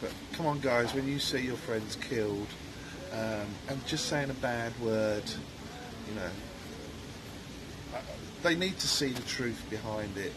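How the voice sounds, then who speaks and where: A man answers interview questions at an outdoor cafe. He speaks earnestly but hesitantly, filling gaps with ums. Clear background noise runs under his voice. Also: medium pitch, unhurried. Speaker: guest, author and former soldier.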